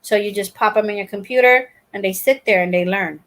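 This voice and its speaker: A woman's voice: medium pitch, fairly quick, fairly bright tone. An older woman from Puerto Rico, the host of a crochet channel, chatting casually on a live stream in a rambling way with many fillers and restarts.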